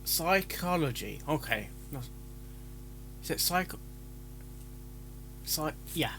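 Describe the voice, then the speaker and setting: A man's voice: fairly high pitch, expressive, takes it slowly, sharp tone, faint music behind. A man chatting casually as a live-stream reactor, stumbling over his words and interrupting himself. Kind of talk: casual live-stream chat with rehearsed intros.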